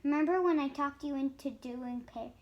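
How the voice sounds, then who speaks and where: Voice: high voice, normal speed, highs cut off. A child of about seven or eight reading a picture book aloud, stumbling now and then on harder words. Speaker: young reader.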